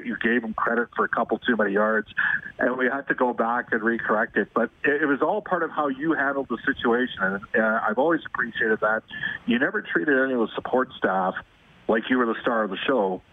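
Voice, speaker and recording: A man's voice: medium pitch, no room sound. A man hosting a radio interview, asking long, rambling questions. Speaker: radio show host. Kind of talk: casual interview over the phone. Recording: tinny phone line, dry.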